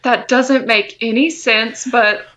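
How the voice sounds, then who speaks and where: Give me a slow-talking, bright-voiced young woman. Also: on the high side, steady tone. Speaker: podcast co-host. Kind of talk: casual banter.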